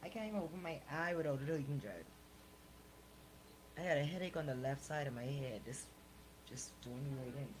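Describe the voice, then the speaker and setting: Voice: mid-range voice, brisk, long pauses, background noise. A person chatting casually. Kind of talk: casual chat.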